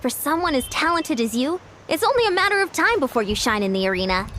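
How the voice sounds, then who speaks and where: High, bubbly young friend. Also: female voice, sing-song, quick pace, fairly bright tone, a little hiss or hum. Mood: cheerful, encouraging. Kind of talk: video game voice acting.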